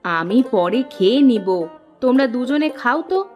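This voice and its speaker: Woman acting a high-voiced fussing village woman. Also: lively intonation, unhurried, lo-fi sound, soft background music. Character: village woman of a poor family, fussing and caring. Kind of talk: Bengali moral story cartoon dialogue.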